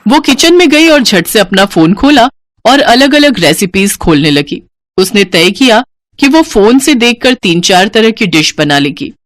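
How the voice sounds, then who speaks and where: A woman narrating a scripted story in an animated storyteller's style. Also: medium pitch, measured pace, dry recording, studio quality.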